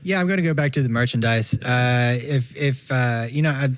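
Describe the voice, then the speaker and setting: A man on a panel giving casual business advice, halting and leaning on filler words. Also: medium pitch, dry recording. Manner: halting, lots of "you know" fillers. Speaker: panel guest.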